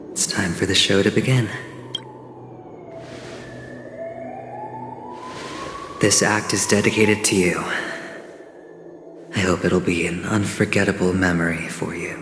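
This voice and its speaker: An actor playing a suave young gambler in a video game, theatrical, wistful and deliberate. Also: male voice, deep voice, background noise, close to an everyday voice. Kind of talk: video game cutscene acting. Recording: echoey hall with long reverb.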